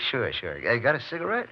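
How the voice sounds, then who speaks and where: Shifty western drifter, old muffled radio. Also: male voice, medium pitch, huge pitch swings, fairly quick, breathy edge, full character voice. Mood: sly, nervous. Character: shifty, nervous drifter outlaw caught by a lawman. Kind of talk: 1950s western radio drama acting. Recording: muffled, noisy old 1950s radio recording.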